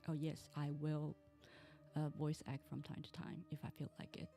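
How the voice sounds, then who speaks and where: A woman, a Mandarin speaker, chats through a game stream in a low voice with a gravelly edge. She rambles and restarts her sentences as she goes. Soft game music plays faintly behind her. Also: level tone, normal speed. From Taiwan. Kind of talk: casual game stream chat.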